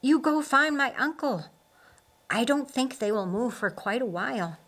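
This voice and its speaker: A woman's voice: sits high, animated, normal speed, a bit bright, pitched above the performer's own voice, exaggerated voice. Practical, loyal young watchman for an audiobook female reader in the part, resolute, plain-spoken. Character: loyal, practical young man standing guard.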